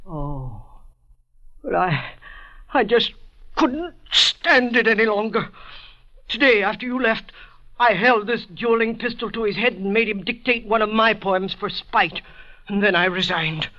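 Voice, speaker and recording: A man playing a timid, high-strung secretary and would-be poet in an old radio drama, stammering, pompous and melodramatic. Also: lively intonation, measured pace, long pauses, bright, forceful tone, full character voice. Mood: shaken, confessing. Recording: dull vintage radio recording.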